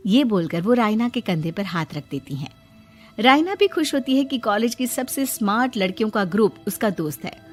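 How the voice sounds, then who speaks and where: Female narrator, medium pitch, scripted cartoon storytelling, music underneath. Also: animated, moderate pace.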